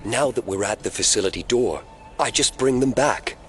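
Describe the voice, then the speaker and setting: An actor playing a smug, calm man who runs a secret facility, speaking formally and condescendingly in an audio drama. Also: mid-range voice, over music, close to an everyday voice. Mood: smug, proud. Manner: condescending, measured, formal wording.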